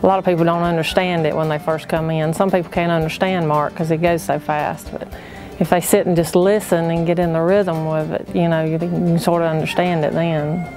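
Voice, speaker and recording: Woman, Southern US accent, plainspoken interview, flat intonation, music behind. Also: low-pitched, fairly quick, few pauses, rough recording. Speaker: family auction worker. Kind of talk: documentary interview. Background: background music.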